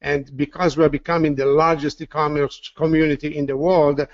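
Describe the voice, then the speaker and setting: A man's voice: a little high, flat delivery, moderate pace, dry recording. A man with an Italian accent presenting a sales webinar, upbeat and repeating words with "uh" fillers. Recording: dull, dry lo-fi audio.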